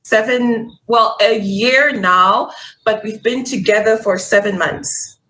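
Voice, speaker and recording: A woman's voice: fairly high pitch, takes it slowly, sharp tone, low-quality recording. A young woman from Congo calling in to a live dating show, talking earnestly and listing her situation. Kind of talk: casual call-in chat.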